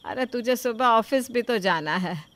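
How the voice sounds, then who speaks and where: A woman's voice: fairly high pitch, expressive, measured pace, fairly bright tone. A woman voicing a middle-aged mother-in-law in a moral story, scheming, sugary and theatrically self-pitying. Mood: self-pitying, sly. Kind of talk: acted Hindi moral-story dialogue.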